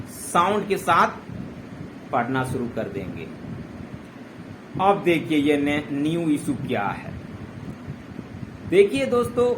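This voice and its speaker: An Indian man giving an informal explainer commentary in a didactic way, with check-in tags and English words mixed in. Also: high voice. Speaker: presenter explaining a current-affairs issue. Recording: clearly noisy amateur recording.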